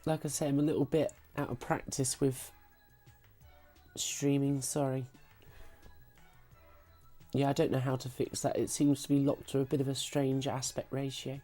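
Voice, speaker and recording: Casting: woman game streamer, low mellow husky voice as if getting over sickness, casual and apologetic, music behind. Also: flat delivery, normal speed, long pauses. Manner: self-deprecating, apologetic, giggly. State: recovering from sickness. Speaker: live streamer playing retro games. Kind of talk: casual live-stream gaming chat.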